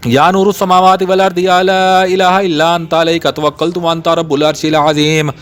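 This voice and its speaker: A man reciting a long Arabic supplication in a steady, repetitive chanting rhythm. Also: a little high, fast, clean tone. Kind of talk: rapid recited prayer.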